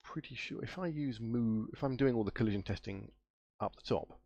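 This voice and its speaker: A man's voice: medium pitch, a little fast, mellow, dry recording, lo-fi sound. A man with a nasal voice from a cold, live-streaming his game coding and thinking aloud, muttering and trailing off as he searches for things. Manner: muttering to himself, trailing off and spelling words out while searching.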